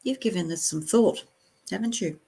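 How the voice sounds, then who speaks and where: A woman's voice: lowish voice, moderate pace, mellow, husky. A woman giving critical, disapproving spoken commentary as the host of a reaction video, with blunt interjections.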